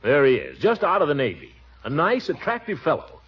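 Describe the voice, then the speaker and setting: An actor playing a pompous, blustering middle-aged uncle in a 1940s radio comedy, exasperated and loud. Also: male voice, wildly swooping pitch, fairly quick, husky, full character voice. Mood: grumbling. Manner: blustery, exasperated, swooping pitch. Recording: dull old radio broadcast with hiss.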